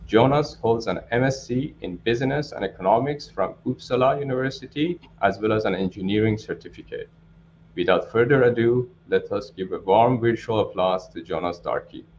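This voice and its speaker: Man, webinar host, formal scripted delivery, low pitch, dull lo-fi sound. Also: normal speed, a little mellow. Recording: dull lo-fi audio, clear background noise.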